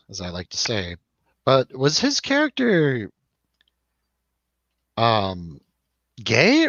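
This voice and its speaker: Male host, animated and rambling, jokey casual review talk, dry slightly lo-fi recording. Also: medium pitch, no echo. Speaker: host of a film review show. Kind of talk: casual chatty film discussion over drinks.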